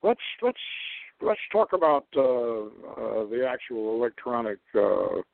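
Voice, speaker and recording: A man's voice: mid-range voice, big pitch swings, a little slow, gravelly, dry recording. Male radio host on a tinny line. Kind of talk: talk radio lecture, partly read aloud.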